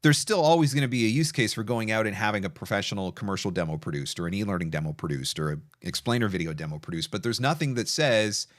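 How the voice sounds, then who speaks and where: A middle-aged man with a low-pitched voice speaks as a webinar host. His delivery is conversational, enthusiastic and emphatic, and the sound is dry with hardly any room in it. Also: lively intonation, fast talker, few pauses, no room sound. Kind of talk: casual interview and product pitch.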